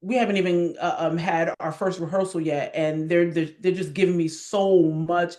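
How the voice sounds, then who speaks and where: A woman's voice: lowish voice, steady tone, normal speed, warm tone. A middle-aged woman talking casually as an acting coach in an interview, emphatic and passionate, repeating words and posing rhetorical questions.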